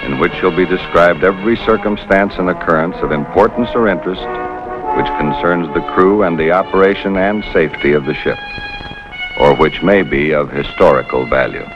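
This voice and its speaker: Male narrator, deep and formal, solemn declamatory delivery over blaring brass, muffled vintage TV soundtrack. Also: moderate pace. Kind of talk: formal 1950s scripted television narration. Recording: dull 1950s TV soundtrack. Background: loud brass music.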